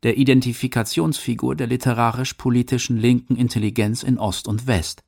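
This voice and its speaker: A man's voice: medium pitch, fairly even intonation, fairly quick, vocal fry, a little mellow. A man reading scripted narration for a radio documentary.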